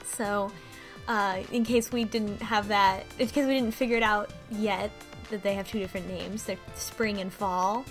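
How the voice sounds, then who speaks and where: A woman co-hosting a casual podcast, recapping a video game in an animated, amused way. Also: mid-range voice, moderate pace, faint music behind. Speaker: podcast co-host.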